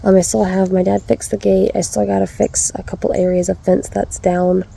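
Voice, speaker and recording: Vlogger: woman, stuffy-nosed, gravelly, warm low voice, rambling outdoors update. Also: little pitch movement, moderate pace, slight background noise, low-quality recording. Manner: chatty, rambling, with frequent ums and restarts. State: stuffy, congested, drowsy. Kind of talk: casual vlog update.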